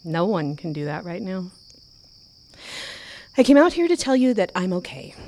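A woman acting in an audio drama as a caring, steady advocate and old friend. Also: on the low side, moderate pace. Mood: reassuring.

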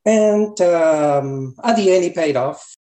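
A man's voice: fairly high pitch, slow pace, warm tone. A middle-aged man from Colombia with a Colombian Spanish accent, answering interview questions about his repair shop in a hesitant way with many fillers. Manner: hesitant, many fillers and restarts, loose grammar. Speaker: repair shop owner, guest. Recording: echoey room.

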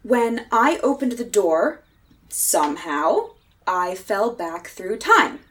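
A woman's voice: mid-range voice, big pitch swings, slow, a bit bright, slight background noise. A woman acting a doomed, fatalistic castaway in time, ominous and insistent, in a 1930s horror radio play style. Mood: grim, resigned. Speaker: actress in a radio drama.

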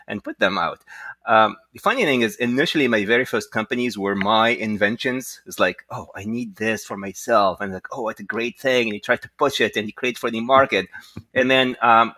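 Man with a low, bright, forceful voice. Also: no echo. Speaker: guest, tech entrepreneur and inventor. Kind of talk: conversational interview storytelling.

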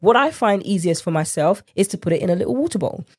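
A woman's voice: mid-range voice, expressive, fast, a little mellow, breathy edge. A woman with a congested, slightly nasal voice hosting a casual solo podcast as a coach, speaking chattily and directly to listeners. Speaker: podcast host and nutrition coach.

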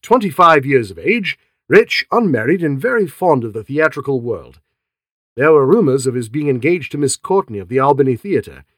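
A man voicing a hearty, condescending male police inspector who talks loudly and persuasively. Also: a little high, no echo, studio quality.

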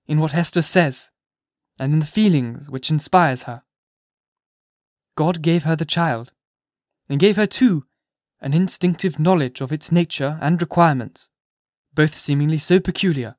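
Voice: mid-range voice, measured pace, no echo, clean studio sound, close to an everyday voice. A reader voicing a careworn young minister who speaks sweetly, tremulous yet powerful. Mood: earnest.

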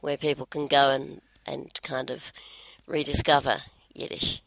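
A woman co-hosting a casual podcast, speaking in a measured, reflective way. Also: rumbling low, little pitch movement, gravelly, fairly bright tone, no room sound, rough recording. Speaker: podcast co-host.

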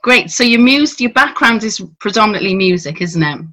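A woman's voice: medium pitch, animated, brisk, crowd noise. A middle-aged woman hosting a podcast in a conversational interview style. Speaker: podcast and radio host interviewing a guest.

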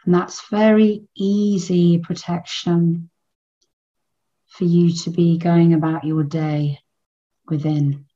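A woman leading a guided meditation in a soothing, unhurried manner with long pauses between phrases. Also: lowish voice, level tone, warm tone, lo-fi sound.

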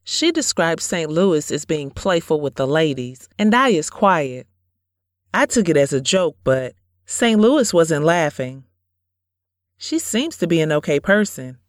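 A woman playing a quiet, laid-back young daughter in an audio drama. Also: low-pitched, big pitch swings, unhurried, dry recording, studio quality. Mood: confiding.

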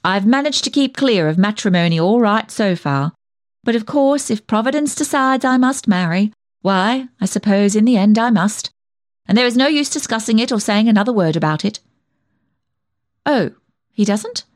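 A woman's voice: medium pitch, expressive, moderate pace, no room sound, clean studio sound, lightly acted. Woman playing a nosy, swooping village gossip. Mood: prying, chatty. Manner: chatty, prying, with backhanded remarks. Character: nosy, tactless village dressmaker, a gossiping spinster. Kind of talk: dramatic monologue reading, comic character storytelling.

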